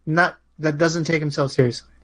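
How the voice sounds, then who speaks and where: A man's voice: a little high, fairly even intonation, brisk, a bit bright. A man talks casually as a guest on a live show. He feels his way through what he says, thinking aloud. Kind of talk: casual chat.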